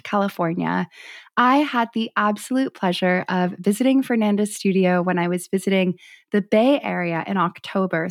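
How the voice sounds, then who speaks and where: A woman's voice: medium pitch, creaky, darkish tone, no room sound, clean studio sound. A woman hosting an interview podcast in an effusive, emphatic, enthusiastic way. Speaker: podcast host.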